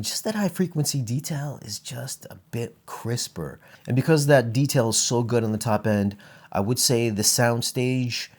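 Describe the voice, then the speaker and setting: Low-pitched man reviewing audio gear. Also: a little mellow. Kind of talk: casual product review talk.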